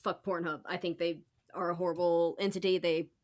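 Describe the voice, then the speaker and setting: Woman on a political podcast, voice a bit low, informal, says what she thinks. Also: level tone, fairly quick, a little mellow. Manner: informal, opinionated. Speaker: podcast panelist. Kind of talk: casual political discussion.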